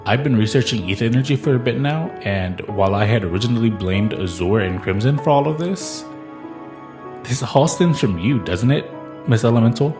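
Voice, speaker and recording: A man's voice: mid-range voice, expressive, fairly quick, natural voice. A man playing a pointed, analytical researcher in an audio drama. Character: accusing, analytical male researcher. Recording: guitar music under the voice.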